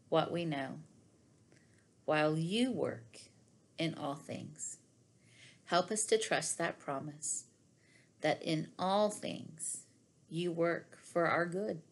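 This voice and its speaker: A middle-aged woman reads devotional words aloud in a slightly low voice. Her pace is very slow and deliberate, with phrases set apart by pauses. She is recorded at home in a small room. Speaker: devotional reader. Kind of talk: reading a devotional aloud, then prayer.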